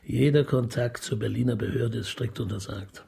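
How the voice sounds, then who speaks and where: An actor playing a reserved, stiffly polite police inspector in a German radio crime comedy, speaking in hesitant officialese. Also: male voice, medium pitch, normal speed, gravelly, mellow, breathy edge, no room sound, full character voice. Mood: dry, formal. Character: formal, reserved middle-aged male police inspector. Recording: noisy, city traffic and car sounds.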